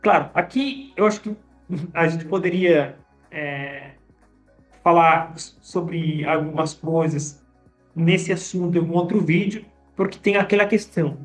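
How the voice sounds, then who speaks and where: A language teacher talking casually to viewers, often tagging sentences with a quick question and restarting phrases. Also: medium pitch, soft background music. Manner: conversational, frequent tag questions and restarts. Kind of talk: casual teaching monologue to camera.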